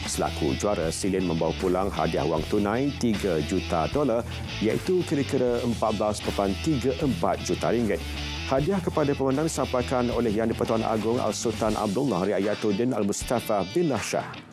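A Malaysian man presents sports news from a script in a fairly low voice. Music plays clearly behind him. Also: animated, fairly quick. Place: television studio.

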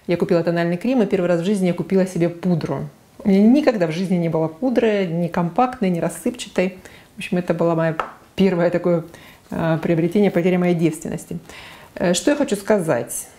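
A woman's voice: fairly low pitch, quick pace, mellow. A woman in her forties talking frankly to camera about her makeup in a small living room, on a lapel mic, with many fillers and self-corrections. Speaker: beauty vlogger. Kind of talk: casual makeup talk to camera.

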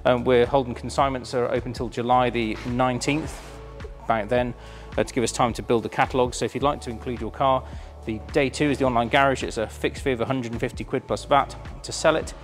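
A man's voice: mid-range voice, fairly even intonation, quick pace, faint music behind. A man, chatty and enthusiastic, presenting a car auction preview in a large warehouse showroom. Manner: enthusiastic, chatty, filled with ums.

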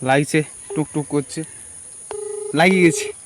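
A man talks in a high voice like an informal vlogger. His phrasing is colloquial and repetitive. Clicks and cricket chirps run clearly behind him. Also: a little slow, noisy background. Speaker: prank-call vlogger, host. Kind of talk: casual chat to viewers and prank phone calls.

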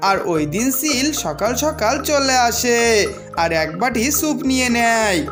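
A woman narrates a children's cartoon story in a high voice. Her delivery swings expressively up and down, with music playing faintly behind her. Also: animated, unhurried.

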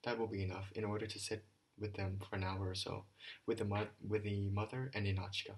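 An earnest young man speaks gently, then with swelling feeling to a group of boys, as a male audiobook reader plays him. The voice is low and slightly husky, grave rather than bright. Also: fairly even intonation, fairly quick. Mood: gentle. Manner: earnest, rising to a heartfelt address.

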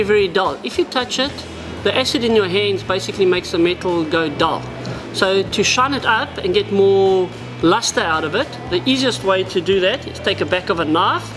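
Brief: South African man, 40s, tackle shop presenter, plain practical delivery, music in the background. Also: high voice. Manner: practical, explanatory, addresses viewers as guys. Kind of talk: product demonstration. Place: indoor tackle shop, seated at a wooden counter.

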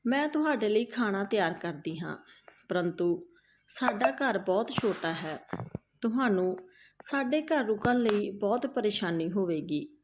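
A woman storyteller voicing a kind, humble, poor village mother with a gentle, apologetic manner in a folk tale. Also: mid-range voice, lively intonation, measured pace, slight background noise, natural voice.

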